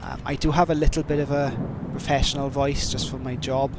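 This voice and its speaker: A man's voice: mid-range voice, flat delivery, fairly quick, heavy background noise. A man with a heavy Welsh accent chatting casually on a livestream, reading and answering viewer questions in a rambling, self-deprecating way with many fillers. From Wales. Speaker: streamer.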